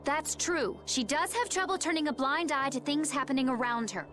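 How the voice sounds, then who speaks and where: A woman playing a composed, resolute young warrior woman in a video game, speaking in a poised, measured, earnest way. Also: high-pitched, a bit bright, faint music behind. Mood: earnest resolve.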